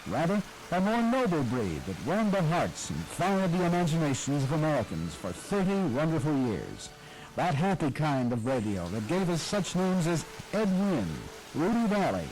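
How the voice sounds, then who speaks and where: A man's voice: fairly high pitch, darkish tone, slight background noise, low-quality recording. A man hosting a program on radio history, giving a scripted, nostalgic, storytelling narration.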